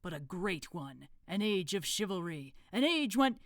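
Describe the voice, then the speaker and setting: A woman voicing a pompous, scheming male grand master of a secret order in archaic, drawn-out phrases, in a storybook reading. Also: lowish voice, big pitch swings, no echo, clean studio sound. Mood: grandiose.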